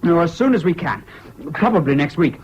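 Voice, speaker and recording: An actor in a British radio drama playing a troubled, excitable, self-doubting young man with an English accent and an earnest manner. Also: on the high side, a little fast, natural voice. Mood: elated. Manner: hesitant, earnest, swinging between gloom and giddy excitement. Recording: dull, hissy old radio recording.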